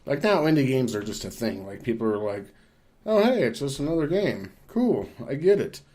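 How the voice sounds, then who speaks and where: A man co-hosting a podcast, talking through his opinions on films casually, thinking aloud in a measured way. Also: medium pitch, very animated, mellow. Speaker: podcast co-host. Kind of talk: casual film discussion.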